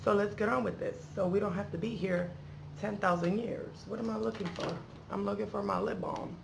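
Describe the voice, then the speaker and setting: Playful woman vlogger with a creaky voice. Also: medium pitch, fairly even intonation, brisk, noisy background. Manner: playful, slangy, rambling with asides. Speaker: beauty vlogger and channel host. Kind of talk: casual chatty unboxing vlog.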